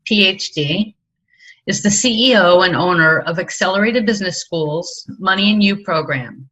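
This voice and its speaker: A woman hosting, reading a formal prepared introduction of a guest in a measured way. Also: lowish voice, fairly bright tone, muffled recording.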